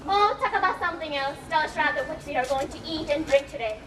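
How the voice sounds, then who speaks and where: Woman playing a shrill, high-pitched, defiant young daughter. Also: fast talker, natural voice. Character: defiant, scornful young daughter of a troubled household. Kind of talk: amateur stage play acting. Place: school stage performance, recorded from a distance. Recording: echoey, noisy hall, distant mic.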